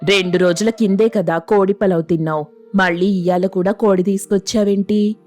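A woman's voice: mid-range voice, fairly even intonation, normal speed, a little mellow, faint music behind, lightly acted. A woman playing a thrifty, nagging village wife in a moral story, with colloquial rustic speech. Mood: scolding. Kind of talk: Telugu moral story voice acting.

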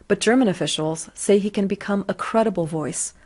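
A woman's voice: medium pitch, brisk, darkish tone. A woman reading scripted narration for a news report.

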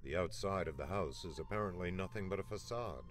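Man as a very deep ominous dungeon narrator. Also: a little fast, faint music behind, natural voice. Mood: ominous, measured. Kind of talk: scripted game narration in second person.

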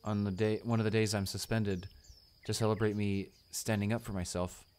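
A man's voice: on the low side, flat delivery, normal speed, a little mellow, lightly acted. A man playing a shy, kind-hearted male student in a romantic audio roleplay, hesitant, stammering and apologetic. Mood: relieved.

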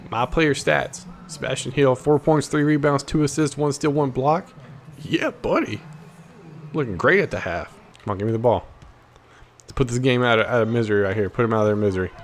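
An American man with a mid-range voice hosts casual gameplay commentary. He is excitable and playful, tossing out trash talk and dropping into muttered asides. Faint basketball game noise runs behind him. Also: mid-range voice, brisk. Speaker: gaming video host. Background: basketball video game sounds.

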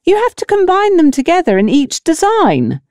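Female narrator playing pushy village mother, pompous and indignant, pitched high, swooping, audiobook drama. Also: wildly swooping pitch, normal speed, a little mellow, dry recording, clean studio sound, heavily acted. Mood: haughty, insistent.

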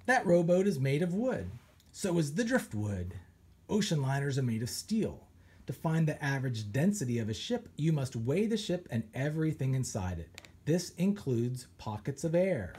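Animated man reading a picture book aloud. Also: fairly high pitch, normal speed, slightly rough. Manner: animated storytelling with pirate flair. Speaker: children's author reading aloud. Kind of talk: expressive read-aloud.

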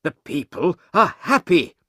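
A man voicing a banker who gravely delivers alarming news in measured, emphatic sentences, in an audiobook. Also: on the high side, very animated, a bit bright, no echo, clean studio sound, voice pushed up, heavily acted. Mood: grave, urgent.

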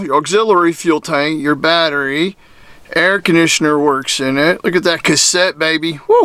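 A man's voice: on the high side, fairly bright tone. An enthusiastic, folksy man giving a casual, off-the-cuff walkthrough commentary full of slangy exclamations. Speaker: vlogger giving a vehicle walkthrough. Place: mostly inside a small cluttered motorhome.

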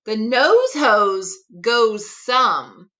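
A middle-aged woman reads a picture book to children. Her voice is bright and fairly high, and she goes very slowly, shaping each tricky phrase with care. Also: sing-song. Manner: deliberate, carefully articulated tongue twisters. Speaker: teacher reading aloud. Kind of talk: expressive children's picture book read-aloud. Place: living room at home.

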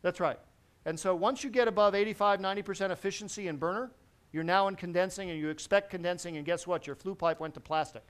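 A middle-aged man giving a technical lecture to a seated audience in a large conference hall, lively and constantly checking in with the listeners. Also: high-pitched, fast talker, smooth. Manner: lively, quizzes the audience with frequent "right?". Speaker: presenter at a technical symposium.

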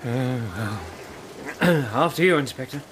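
An English actor playing a genial, mildly fussy gentleman doctor and loyal companion in a radio drama. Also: medium pitch, animated, measured pace, background noise, natural voice. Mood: surprised, polite. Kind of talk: BBC radio drama acting.

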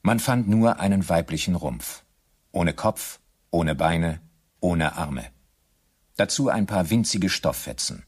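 A crime-story narrator with a deep, husky voice. He tells the radio play in a measured, dry way, with understated irony. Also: lively intonation, full character voice. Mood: grimly ironic. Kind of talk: scripted radio drama narration.

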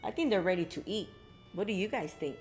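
A woman's voice: medium pitch, expressive, fairly quick, darkish tone, faint music behind. A middle-aged woman reading a story aloud to young children, expressively, with exclaimed asides to her listeners. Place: indoor library room with bookshelves.

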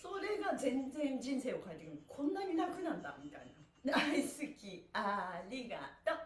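A woman's voice: high-pitched, very animated, normal speed. A middle-aged woman cheerfully and encouragingly leading a stretching class, talking and chanting while she exercises. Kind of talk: live exercise instruction with chanted counts. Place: small indoor room with bare wall, on a mat. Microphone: camera a few metres away. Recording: roomy echo, distant mic.

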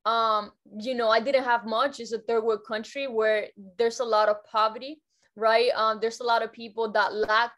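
A woman's voice: on the high side, flat delivery, normal speed, fairly bright tone. A young woman from Cuba, speaking as a guest educator in an upbeat, casual way on a video call from her bedroom, often repeating and restarting words. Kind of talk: casual motivational talk. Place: bedroom at home.

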